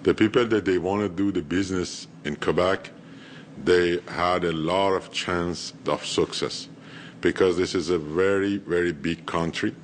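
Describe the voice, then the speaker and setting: Deep-voiced male interviewee, halting delivery, faint room noise. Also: fairly even intonation. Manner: halting, with repeated words and fillers. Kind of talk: interview talk.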